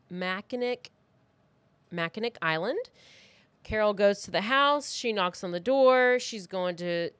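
A woman's voice: lowish voice, fairly bright tone, clear, resonant tone, dry recording. A woman hosting a true crime podcast, telling the story in a casual, conversational way with informal, blunt asides, close to the microphone.

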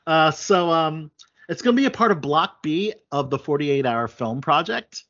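A man's voice: a little high, lively intonation, normal speed, fairly bright tone. Rambling man hosting a chat show. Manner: rambling, many fillers and restarts. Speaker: podcast host. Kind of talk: casual chat. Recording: dull lo-fi sound.

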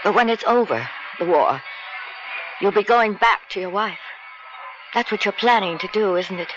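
A woman's voice: medium pitch, expressive, moderate pace, noisy background, lightly acted. An actress in a radio drama playing a clinging, heartbroken mistress pleading with her departing lover. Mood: hurt, pleading. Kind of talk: 1970s radio drama acting. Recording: dull radio recording over music.

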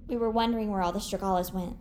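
An actress playing an earnest, affectionate, excitable young woman in an audio drama. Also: medium pitch, racing, a little hiss or hum, close to an everyday voice. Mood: surprised.

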